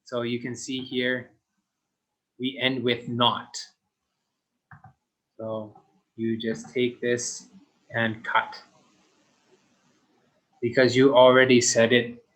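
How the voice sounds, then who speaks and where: A man teaching a grammar lesson to young learners, speaking simply and deliberately. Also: mid-range voice, flat delivery, a bit bright, rough recording. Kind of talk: slow, deliberate recorded English lesson.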